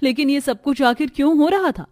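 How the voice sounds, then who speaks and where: A woman's voice: high-pitched, brisk, darkish tone, no room sound. A woman storyteller reading scripted narration for a cartoon family story.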